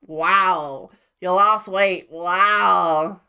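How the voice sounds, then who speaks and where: A woman's voice: lowish voice, fairly even intonation, extremely slow, pushed and bright, clean tone. Blunt, cursing woman streamer. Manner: blunt, profane, exasperated. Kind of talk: casual reaction commentary.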